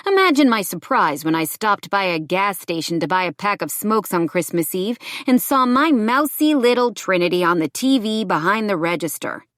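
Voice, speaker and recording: A woman acting a menacing, sneering man, raised in pitch. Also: big pitch swings, normal speed, hardly any pauses, a bit bright, no echo, clean studio sound. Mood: taunting, angry. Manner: taunting, threatening. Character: menacing, sneering, angry ex-boyfriend, lanky thug with knife.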